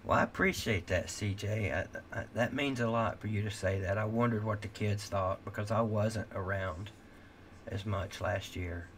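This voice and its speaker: A middle-aged man rambles candidly through a late-night livestream, cursing and restarting his sentences. His low, gravelly voice is wearing thin, as if he is losing it. A faint hiss sits under the recording. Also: normal speed, a bit bright. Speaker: livestream host.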